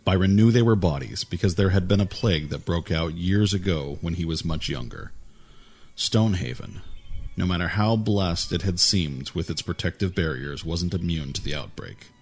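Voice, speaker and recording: A man reading a fantasy story aloud in a calm, even way, with a husky voice. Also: low-pitched, moderate pace, soft background music. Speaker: narrator. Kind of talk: storybook narration.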